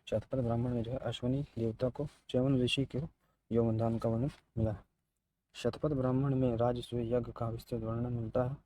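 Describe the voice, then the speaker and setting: A man giving an exam-preparation lecture as a teacher, haltingly repeating and restating his key phrases. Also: medium pitch, mellow. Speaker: teacher of exam history lessons.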